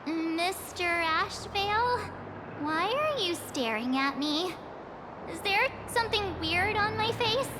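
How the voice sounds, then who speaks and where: An actress playing a perky, cheeky young girl with a soft heart in an anime-style video game. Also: very high-pitched, slow pace, noisy background, heavily acted. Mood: sassy, puzzled. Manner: playful, sassy, expressive. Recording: loud background music under dialogue.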